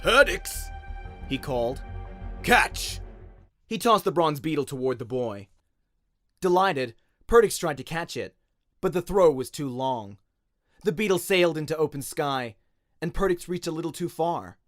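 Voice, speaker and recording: An Australian man narrates a story with a slightly high, animated delivery. His dramatic storytelling is recorded dry, with music beneath it in radio-play fashion. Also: normal speed, dry recording. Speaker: storyteller, voice actor.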